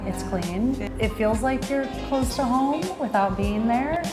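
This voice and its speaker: A woman's voice: a little high, unhurried. A woman who owns a fitness studio, speaking upbeat and conversational in an interview. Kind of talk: interview testimonial. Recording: roomy sound under loud music.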